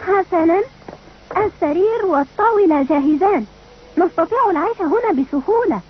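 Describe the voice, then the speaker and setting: An actress playing a kind, resourceful youngster who looks after friends in a dubbed cartoon. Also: female voice, very high voice, animated, fairly quick, slight background noise. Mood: cheerful. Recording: muffled old cartoon dub.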